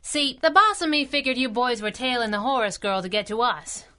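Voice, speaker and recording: A woman's voice: a little high, expressive, a little fast, bright, forceful tone, clear, resonant tone. A woman playing a brash, wisecracking masked crime-fighting sidekick in a pulp radio drama, sassy, taunting and slangy. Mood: mocking. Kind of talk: radio drama acting, pulp adventure serial.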